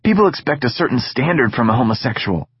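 A man's voice: mid-range voice, expressive, quick pace, husky, highs cut off, pitched above the performer's own voice. A man voicing a brash, teasing teenage boy who keeps pushing his friend, in an audiobook reading. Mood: pushy eager.

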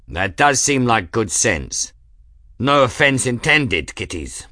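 A man voicing a burly, rough-mouthed male airship crewman in an audiobook, with a blunt, cheeky manner. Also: mid-range voice, sing-song, slow pace, bright, forceful tone, no room sound, studio quality, heavily acted. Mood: sheepish.

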